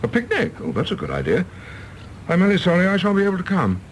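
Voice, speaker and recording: Aloof, highly animated English diplomat. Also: male voice, fast, a little hiss or hum. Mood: dismissive. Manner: clipped, pompous, formally polite. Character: irritable, aloof English diplomat, weary grown son. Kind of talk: stage-play radio drama acting.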